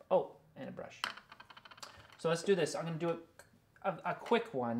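A man, casually showing and demonstrating his work as an illustrator, musing to himself as he goes. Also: fairly high pitch. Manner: musing, talks to himself while working. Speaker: illustrator and picture book author. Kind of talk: casual show-and-tell and drawing demonstration. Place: indoors in a small studio with books and records.

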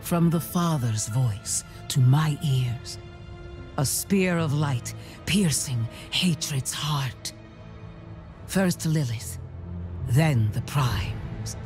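A stern high priestess speaks in a low, slow voice full of solemn zeal. She sounds commanding and reverent, as in a fantasy game cutscene, over faint music. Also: lively intonation, darkish tone, heavily acted. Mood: solemn, devout. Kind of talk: dramatic video game cutscene acting.

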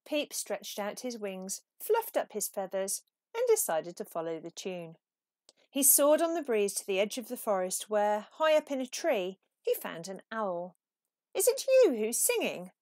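A woman reading a children's picture book aloud as an expressive, animated storyteller. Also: medium pitch, a little slow, no room sound, studio quality. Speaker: storybook reader.

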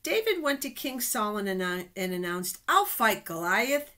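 A middle-aged woman reading Bible stories aloud to children in a deliberate, expressive way. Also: medium pitch. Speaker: children's storyteller at a church. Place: small office indoors. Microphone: webcam at desk distance.

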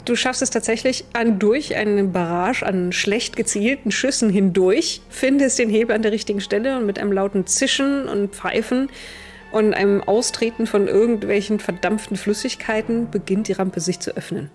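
A woman's voice: mid-range voice, normal speed, darkish tone, faint music behind. A young adult woman narrating a tabletop role-playing game as game master, in a vivid, descriptive way.

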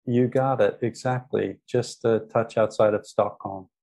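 A man's voice: on the low side, steady tone, measured pace, a little mellow. An American man from the United States, a podcast guest who talks casually with many ums and restarts. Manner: friendly, frequent ums and restarts. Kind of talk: casual interview talk.

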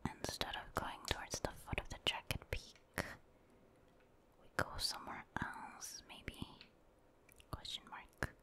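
A woman whose native language is Filipino, whispering breathily as she comments on a game for ASMR, musing aloud and softly repeating short phrases. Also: takes it slowly. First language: Filipino (Tagalog). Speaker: ASMR gaming video creator.

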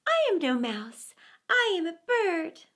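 A woman's voice: very high voice, huge pitch swings, slow pace, a bit bright, pitched above the performer's own voice, heavily acted. A woman voicing a crafty, desperate little female bat, pleading and protesting in a children's story. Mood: frantic protest.

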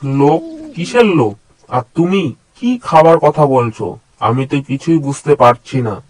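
A man's voice: medium pitch, expressive, unhurried, poor audio. A man voicing a loyal, protective dog with an earnest, firm manner in a children's cartoon story. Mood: puzzled. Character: loyal, honest, protective male guard dog.

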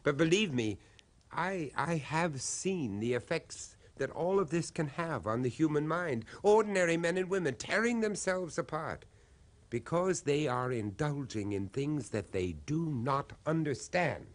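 A man's voice: on the high side, lively intonation. Grave grieving father, dramatically swooping voice. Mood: grave, intense. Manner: grave, dramatic, deliberate phrasing. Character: grieving 19th-century father searching for his lost son. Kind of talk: 1970s radio horror play acting.

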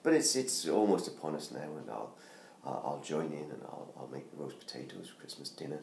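A middle-aged man from the UK, hoarse from laryngitis, chatting casually with many ums and restarts as he promotes his book from his living room. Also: a bass voice, lively intonation, brisk, darkish tone. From United Kingdom. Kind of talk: casual self-made promo video. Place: living room at home by a Christmas tree. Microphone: tablet camera at close range. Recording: roomy home sound with faint noise.

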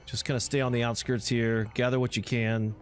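A man's voice: medium pitch, little pitch movement, fairly quick. A man giving casual gameplay commentary, informally thinking aloud as he plays. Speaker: gaming video host. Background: loud game music and sound effects.

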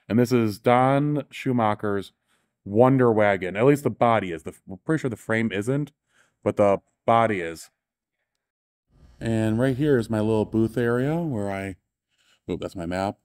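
A man's voice: fairly low pitch, darkish tone, studio quality. A man giving an enthusiastic, offhand walking tour of cars in a large indoor exhibition hall, correcting himself as he goes. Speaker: show tour guide and author. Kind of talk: casual walking tour commentary.

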